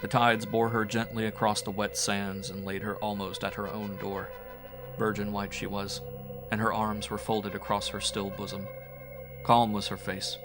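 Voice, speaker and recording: A man's voice: fairly low pitch, poor audio. A man narrating a horror audiobook in a theatrical, brooding, old-fashioned storytelling manner. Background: music.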